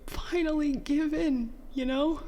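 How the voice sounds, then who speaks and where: A woman plays an anxious young petty officer in a sci-fi audio drama, grieving and full of self-doubt. The voice is fairly high and unhurried, with halting, stammered phrasing. It rings in a long reverb over faint noise. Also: fairly even intonation, dark tone. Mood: subdued, apologetic.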